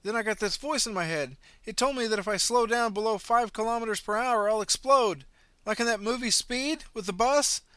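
A man's voice: high-pitched, fairly quick, bright, forceful tone, clear, resonant tone, no room sound, voice pushed up. A man voicing a panicked, breathless man begging to be left alone as he runs for his life. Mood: desperate pleading. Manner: pleading, frantic, breathless.

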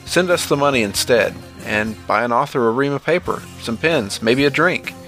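A man hosts and narrates a horror fiction podcast in a scripted reading style. His voice sits at an average male pitch with plenty of gravelly fry. Music plays faintly behind him. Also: lively intonation, moderate pace, a bit bright, low-quality recording.

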